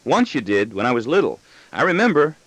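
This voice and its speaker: A man's voice: mid-range voice, sing-song, brisk, fairly bright tone, no echo, pitched above the performer's own voice, low-quality recording. A man voicing a bossy, argumentative small boy with childlike insistence in an audiobook story. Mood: pleading, insistent. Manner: indignant, insistent, childlike pestering.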